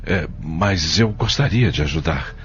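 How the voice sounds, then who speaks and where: A man's voice: deep voice, animated, measured pace, slight background noise. A Brazilian man playing a worried, earnest father in an audio drama, courteous and full of questions. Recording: dull lo-fi audio.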